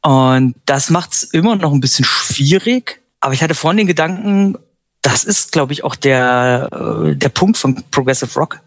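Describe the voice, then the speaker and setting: A man's voice: a little high, expressive, normal speed, no echo. A man whose first language is German, a band's singer and lyricist, talking in a casual interview in a reflective, somewhat hesitant and self-deprecating way.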